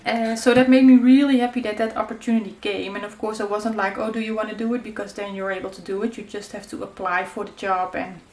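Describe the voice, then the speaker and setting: A woman's voice: mid-range voice, flat delivery, fast, few pauses, a little mellow. Chatty Dutch woman crafting in an echoey room. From Netherlands. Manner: chatty, many fillers and searching for words. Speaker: crafting channel host. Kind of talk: casual tutorial chat while crafting. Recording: echoey room with faint noise.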